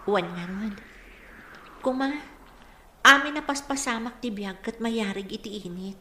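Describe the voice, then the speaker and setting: A woman's voice: mid-range voice, measured pace, bright, forceful tone. An actress playing a wistful, troubled village woman who longs for peace, in an earnest, reflective radio drama style. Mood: wistful hope. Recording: echoey room with faint noise.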